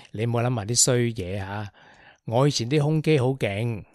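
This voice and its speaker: A man's voice: mid-range voice, normal speed, darkish tone, dry recording, clean studio sound. A man with a Hong Kong Cantonese accent hosting a radio chat, joking and laughing easily. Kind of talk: casual chat.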